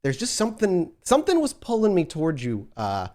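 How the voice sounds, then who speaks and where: A man's voice: a little high, wildly swooping pitch, a little fast, darkish tone, pitched above the performer's own voice. A man voicing a smiling, overly friendly young male church caroler who stumbles over his words. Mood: eager friendly. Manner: eager, ingratiating, stumbling.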